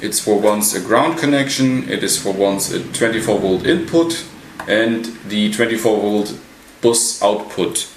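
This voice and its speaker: A man giving an unscripted technical walkthrough as a hobbyist presenter, halting and pausing mid-sentence. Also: fairly low pitch. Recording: roomy echo, light background noise.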